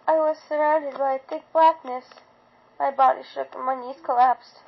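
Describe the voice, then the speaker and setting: A woman's voice: high-pitched, fairly even intonation, a little slow, creaky, lo-fi sound. A woman reading a first-person fan fiction story aloud with dramatic narration.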